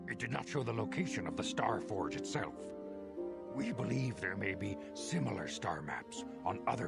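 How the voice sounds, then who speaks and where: A grave elder Jedi master in a video game. His very low, gravelly voice rises and falls widely as he speaks with formal, measured authority. Music plays clearly behind him. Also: exaggerated voice. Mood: instructive. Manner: formal, solemn, measured oratory. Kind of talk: video game cutscene dialogue.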